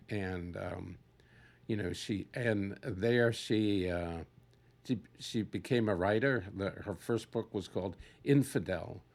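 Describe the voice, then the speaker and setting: An older man giving an unscripted, reflective personal talk in a halting way, searching for words as he goes. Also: fairly low pitch, a little mellow.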